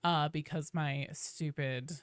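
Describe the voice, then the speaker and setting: A woman live streaming games, chatting casually with her audience in an informal, animated, profanity-laced way. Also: low-pitched, takes it slowly, smooth, no echo, clean studio sound. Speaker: video game live streamer.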